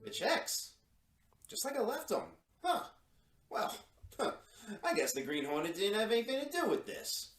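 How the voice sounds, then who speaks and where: A man's voice: sits high, wildly swooping pitch, normal speed, long pauses, a bit bright, reverberant, heavily acted. A man acting a crooked, easily flustered secretary in an old-time radio drama, muttering and blustering. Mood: furtive muttering. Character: crooked male judge's secretary, shifty, indignant, easily flustered.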